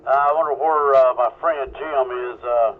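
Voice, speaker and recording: A man's voice: mid-range voice, normal speed. A man hosting an amateur radio net in a casual, folksy way as control operator. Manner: folksy, informal. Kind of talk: casual radio check-in chat. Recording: thin phone-like radio audio, webcam mic.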